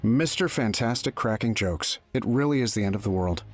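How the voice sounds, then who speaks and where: An actor playing a glib, egotistical inventor superhero in a video game, wry and casual. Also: male voice, lowish voice, animated, a little fast, soft background music. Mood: wry, teasing.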